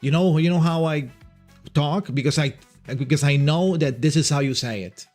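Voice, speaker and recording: A man's voice: fairly high pitch, normal speed, a little mellow, faint music behind. A young man from Italy with an Italian accent, chatting casually on a livestream, rambling and restarting his sentences and swearing now and then. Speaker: streamer.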